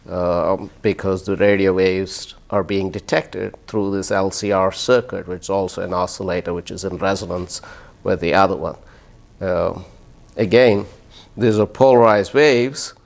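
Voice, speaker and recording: A man speaking as a physics professor, giving a live demonstration in an explanatory way, with hesitant pauses and short check-in questions. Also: on the low side, vocal fry.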